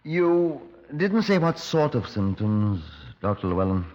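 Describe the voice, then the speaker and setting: An actor playing a probing, impatient police superintendent, clipped and questioning, in 1950s radio drama style. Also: male voice, medium pitch, big pitch swings, measured pace, darkish tone. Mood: insistent. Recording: dull old 1950s radio recording.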